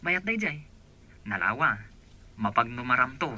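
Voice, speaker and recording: A man's voice: mid-range voice, very animated, normal speed, sharp tone, no echo, heavily acted. A man with an Ilocano accent playing an eager, respectful village go-between in a radio drama. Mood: eager, reassuring.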